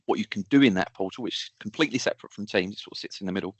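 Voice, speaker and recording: Male webinar specialist: low-pitched, dry close sound, practical step-by-step answers, informal delivery. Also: rapid-fire, husky, no echo. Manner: explains step by step with practical examples. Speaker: technical expert answering audience questions. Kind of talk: informal technical webinar explanation.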